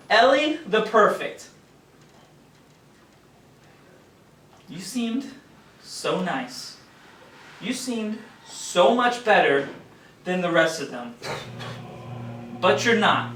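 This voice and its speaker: A man's voice: sits high, a bit bright, full character voice. A man acting a bitter, jealous employee on stage, ranting with sarcastic, theatrical delivery. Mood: bitter, menacing. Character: bitter, jealous male employee snapping at his colleagues. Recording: echoey theatre recorded from far off, noise.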